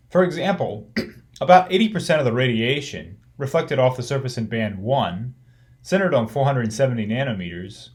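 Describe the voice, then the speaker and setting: A man reading a technical course lecture in a measured, explanatory way. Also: mid-range voice.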